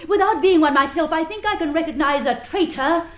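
An actress playing a cool, defiant, well-bred young heroine in an old radio serial, formal and taunting. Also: female voice, very high voice, brisk. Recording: echoey radio drama sound.

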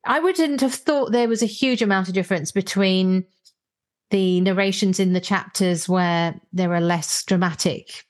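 A woman's voice: mid-range voice, normal speed. British woman hosting a book discussion. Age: middle-aged. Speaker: podcast host. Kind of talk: conversational book review discussion.

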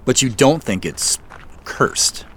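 An actor playing a curious young executive visiting a farm, talking hesitantly with nervous laughs. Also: male voice, medium pitch, lively intonation, slow pace, fairly bright tone, slight background noise. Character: curious, unsettled young city executive man. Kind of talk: audio drama acting. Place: outdoors on a farm at night.

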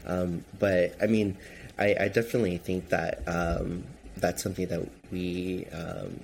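A man with a very deep voice and a gravelly rasp, talking slowly through his thoughts with lots of hesitation. His first language is Chinese. Faint noise sits under the recording. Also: little pitch movement. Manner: hesitant, many fillers and restarts. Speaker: guest, gun group member. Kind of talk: casual interview answers.

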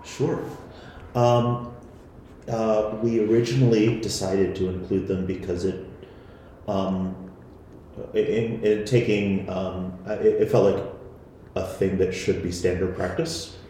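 A man's voice: lowish voice, steady tone, takes it slowly, pauses often, mellow, slight background noise. A man being interviewed about his podcast, talking hesitantly with many fillers and restarts. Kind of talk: casual interview answers. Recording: very echoey room.

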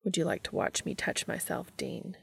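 A woman voicing a commanding, tender adult man in a romantic audio story, speaking low, slow and often in a whisper. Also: close to an everyday voice. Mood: hushed, commanding.

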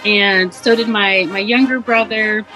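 A young woman guest speaks in a relaxed, chatty way, dotted with fillers and easy laughter. Her voice is bright and forward. Faint music sits low in the background. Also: unhurried, poor audio. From Nigeria, raised in Ohio. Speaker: guest, social justice advocate. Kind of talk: casual interview.

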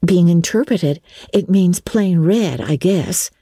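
An earnest schoolgirl of sixteen, acted out by a woman reader, pitched high and full of dreamy conviction. She talks in a breathless, emphatic way, with a soft tone and a close, dry storybook recording. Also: slow, breathy edge, no echo. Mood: amused.

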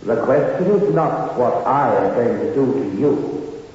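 An actor playing a solemn, scornful spirit who judges the dead in a 1960s radio horror play, speaking gravely. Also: male voice, medium pitch, animated, a little mellow, natural voice. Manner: slow, grave, pronouncing. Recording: echoing long reverb, muffled old radio.

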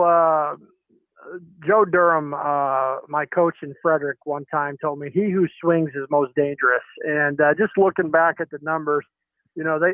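Middle-aged man, pitching coach, phone-line sound, higher voice, halting, lots of fillers. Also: no echo. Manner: halting, many fillers and restarts. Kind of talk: phone interview.

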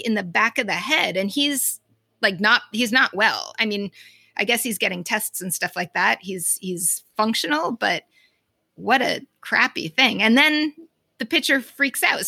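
A woman with a Boston accent, co-hosting a podcast in casual chat and reacting quickly to her partner. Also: medium pitch, creaky, sharp tone, dry recording. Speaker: podcast co-host.

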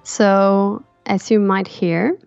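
A woman's voice: medium pitch, steady tone, very slow, creaky, darkish tone, smooth, faint music behind. Reflective Swedish woman, dull dry sound. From Sweden. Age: 30s. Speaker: podcast co-host. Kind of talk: personal storytelling in a podcast chat.

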